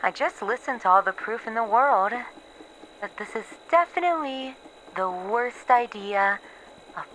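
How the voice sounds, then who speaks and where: A woman playing a guilt-ridden research scientist who records secret logs in a horror ASMR audio drama, speaking haltingly and repeating herself. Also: on the high side, expressive, fairly bright tone, a little hiss or hum, poor audio. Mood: weary doubt.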